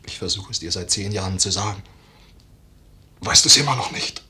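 An actor in a German radio play playing a brooding, guilt-ridden, drinking husband, halting and repeating his words with bitter irony. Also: deep voice, pushed and bright, husky, full character voice. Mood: tormented, urgent.

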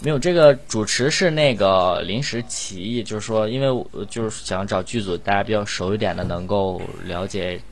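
A man's voice: on the low side, normal speed, hardly any pauses. A man hosting a casual group chat in an informal, rambling way, often repeating his phrases. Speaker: host of a cast chat session for an audio drama. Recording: music playing behind the voice. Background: background music.

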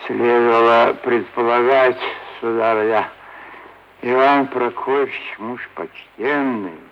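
Oily, creaky, pompous schemer. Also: male voice, medium pitch. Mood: unctuous. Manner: unctuous, pompous, deliberate officialese with coaxing diminutives. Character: oily scheming merchant's son-in-law, pompous, wheedling, middle-aged official. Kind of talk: theatrical classic Russian stage acting. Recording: dull vintage radio recording, faint hiss.

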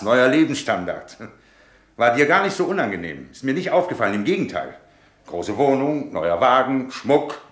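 A man's voice: lowish voice, fairly bright tone, echoey room. An actor playing a bitter, disillusioned middle-aged businessman husband in a German radio drama, with a sarcastic, theatrical way of talking. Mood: accusing, agitated. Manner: sarcastic, rhetorical, theatrical delivery.

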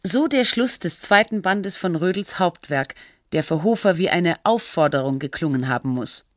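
A woman narrating a radio feature in a measured, factual and articulate scripted style. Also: fairly low pitch, animated, no room sound, studio quality, close to an everyday voice.

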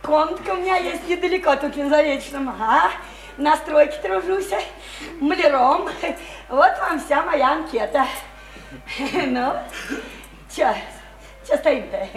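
Shrill brash boozy working woman. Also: measured pace, pauses often, reverberant, soft background music. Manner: flirty, teasing, folksy and slangy. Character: brash, boozy, chattering widowed house painter, a woman. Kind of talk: theatrical radio play acting.

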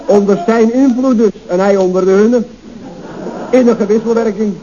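An actor playing a chatty, blithely tactless office colleague with wry, mock-elegant phrasing in a radio comedy serial. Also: male voice, high-pitched, steady tone, moderate pace, natural voice. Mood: mock-earnest. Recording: dull, noisy old radio recording.

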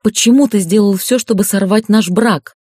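A male narrator reads as a fiery young woman in a fantasy audiobook. She is defiant and emotional, pressing insistently in a fairly high register for a male reader. The recording is dry. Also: normal speed, darkish tone, no echo, close to an everyday voice. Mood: desperate accusation. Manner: challenging, emotional, insistent questioning.

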